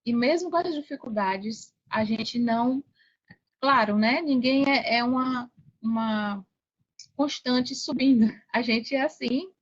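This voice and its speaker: A woman's voice: on the high side, takes it slowly. A Brazilian woman speaking casually as an interview guest, recounting her experience. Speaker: podcast guest, affiliate marketer. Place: online video call. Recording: dull, lo-fi call audio.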